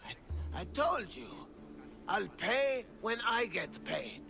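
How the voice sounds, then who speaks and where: Casting: a panicky, obnoxious drunken harbor master, game comedy-drama, very high voice, drawn-out stammering, music behind. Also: male voice, sing-song, vocal fry, exaggerated voice. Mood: panicky, accusing. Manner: agitated, stammering. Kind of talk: scripted video game dialogue.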